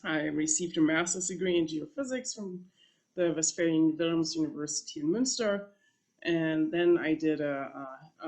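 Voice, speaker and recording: A woman's voice: deep voice, darkish tone. A woman from Germany with a German accent, a professor presenting to a panel in a conversational way with small restarts. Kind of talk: presentation talk.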